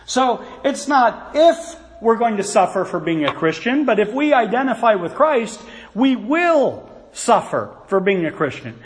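A man's voice: high-pitched, very animated, a little slow, hardly any pauses. A man preaching a sermon, quoting scripture earnestly and turning aside now and then to speak to the children. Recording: long hall reverb.

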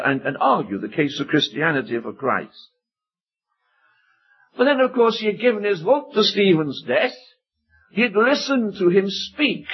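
Husky-voiced man preaching a sermon. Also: high voice. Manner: earnest expository preaching with emphatic rises. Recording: dull lo-fi recording.

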